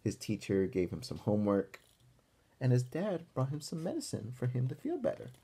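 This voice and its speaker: A young man reading a picture book aloud to children as a teacher, pausing for encouraging asides and questions. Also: mid-range voice, huge pitch swings, mellow, slightly rough. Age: young adult. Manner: encouraging, asks listeners questions. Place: small room at home, indoors.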